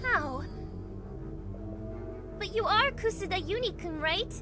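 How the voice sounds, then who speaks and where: A woman's voice: very high-pitched, lively intonation, slow pace, full character voice. A woman playing an anxious, emotional young survivor, narrating a scripted drama in the first person with earnest feeling. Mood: shrill, distressed. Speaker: narrator and lead character in an amateur fan dub. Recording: music playing behind the voice.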